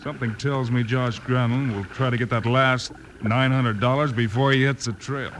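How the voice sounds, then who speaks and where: An actor playing a steady, decisive cowboy lawman with an American accent and a commanding, matter-of-fact manner in a radio western. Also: medium pitch, moderate pace, natural voice. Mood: focused. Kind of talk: 1950s radio western acting. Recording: noisy old-time radio recording.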